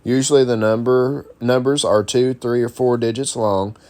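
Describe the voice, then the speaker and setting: A man's voice: mid-range voice, fairly even intonation, normal speed, a little mellow. A man presenting an instructional guide, reading a script aloud in an informal, explanatory way. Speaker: presenter of a CB radio how-to guide.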